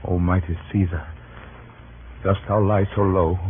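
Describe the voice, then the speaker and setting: A man's voice: deep voice, level tone, a little slow. A Roman man mourning his slain friend and burning for revenge. The delivery is solemn, grand 1930s radio Shakespeare. It comes through a thin, narrow-band broadcast recording with surface noise. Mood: grieving. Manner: solemn, declamatory. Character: grieving, vengeful Roman friend of the slain ruler.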